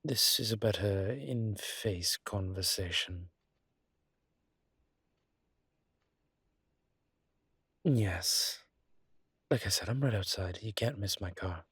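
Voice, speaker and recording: A man playing a courteous, wry vampire with formal, hesitant phrasing and a husky voice in an audio roleplay. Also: fairly low pitch, a little slow, fairly bright tone, dry recording, heavily acted. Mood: discreet, matter-of-fact. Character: courteous, wry adult male vampire wary of daylight. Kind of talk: one-sided audio roleplay acting.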